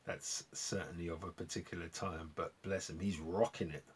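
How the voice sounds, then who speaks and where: A man hosting a vlog, chatting casually and appreciatively in a rambling, gentlemanly way as they review records. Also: sits low, fairly even intonation, moderate pace, a little hiss or hum. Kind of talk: casual album review chat to viewers.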